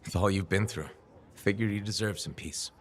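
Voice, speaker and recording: An actor playing a calm, earnest male freelancer in a video game cutscene. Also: fairly low pitch, moderate pace, a little hiss or hum, natural voice.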